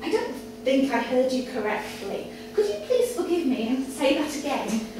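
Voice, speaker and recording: A woman reading the part of a meek, earnest young gentleman suitor, hesitant, formal and pleading. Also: high voice, very animated, moderate pace. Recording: echoey, distant camera mic, room noise.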